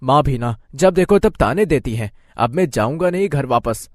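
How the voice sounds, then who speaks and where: A man's voice: mid-range voice, animated, moderate pace, darkish tone, no room sound, studio quality, natural voice. A man voicing an idle, boastful and naive young village son in a cartoon moral story, whiny, self-important and given to exclaiming. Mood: sulky.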